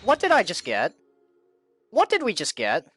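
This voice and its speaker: A man with a fairly high voice hosts a casual game livestream. His pitch moves around a great deal as he talks to his chat, often repeating phrases in a comically pleading way. Music plays faintly behind him. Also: huge pitch swings, normal speed, fairly bright tone, slightly rough. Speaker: game live streamer.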